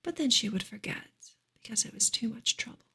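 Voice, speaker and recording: A woman gives a soft ASMR reading of a book, breathy and often close to a whisper, in a gentle, measured way. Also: on the high side, expressive. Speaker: streamer reading a novel aloud.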